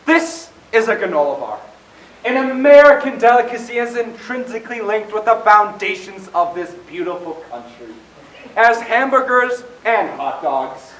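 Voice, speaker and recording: A man playing an indignant, self-important young debater with earnest, pompous delivery in an amateur stage play. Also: high voice. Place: stage in a hall. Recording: echoey hall, distant mic, faint noise.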